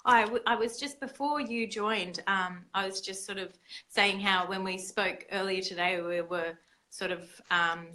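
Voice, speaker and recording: A woman hosting a casual conversation, soft-spoken and hesitant, with many fillers. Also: medium pitch, fairly even intonation, unhurried. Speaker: host.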